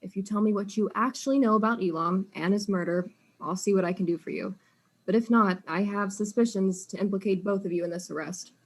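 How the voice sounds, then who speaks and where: A woman's voice: mid-range voice, a little fast, lightly acted, low-quality recording. A woman playing a blunt, exasperated detective in a comic audio drama, dry, impatient and sarcastic. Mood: stern.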